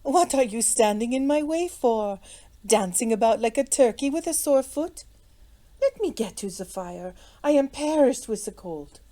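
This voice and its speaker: A merry, witty young noblewoman from a storybook, performed by a woman. Her speech is musical and faintly sing-song, mellow in tone, with a streak of playful impatience. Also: mid-range voice, expressive, a little slow, lightly acted. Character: elegant young French-born lady, merry, witty and impatient.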